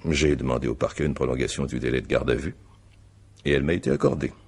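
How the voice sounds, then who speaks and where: An actor playing a stern, methodical examining magistrate in a radio mystery drama, questioning formally and deliberately with a gravelly voice. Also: male voice, very deep voice, darkish tone, heavily acted. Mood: grave, accusing.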